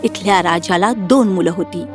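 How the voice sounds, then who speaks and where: A woman's voice: lowish voice, moderate pace, faint music behind. A woman narrating a scripted fairy tale as the storyteller.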